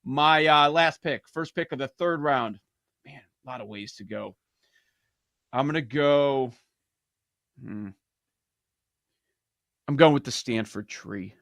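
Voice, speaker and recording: A man hosting a sports betting show, animated and laughing through casual banter. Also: mid-range voice, takes it slowly, vocal fry, pauses often, dry recording, studio quality. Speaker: podcast host.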